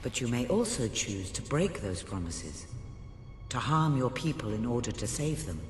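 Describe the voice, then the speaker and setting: A solemn mentor figure from a fantasy game acted by a man delivers grave, cryptic counsel to a monarch. The voice rings with echo and long reverb, with music playing clearly behind it. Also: mid-range voice, big pitch swings, normal speed, lightly acted. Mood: stern, foreboding. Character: solemn, cryptic mentor prophesying doom to a new king. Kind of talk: dramatic video game cutscene dialogue.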